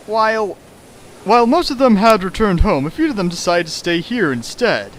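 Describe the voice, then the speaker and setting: A man's voice: high-pitched, lively intonation, normal speed, slight background noise. A man reading a fan fiction aloud on a stream and mocking it with sarcastic, exasperated commentary. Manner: sarcastic, exasperated, shifts into mock voices. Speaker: fanfic reader and riffer. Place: home streaming setup.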